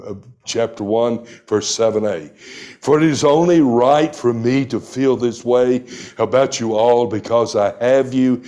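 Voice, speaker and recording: A man in his sixties preaching a sermon, reading scripture aloud with deliberate emphasis. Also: medium pitch, darkish tone. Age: 60s. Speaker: pastor.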